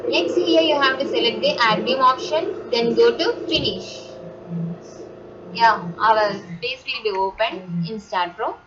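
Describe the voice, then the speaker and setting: A woman with an Indian accent giving an unscripted step-by-step software tutorial, instructing and answering her own questions as she goes. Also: on the high side, measured pace. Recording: dull lo-fi audio, loud background noise.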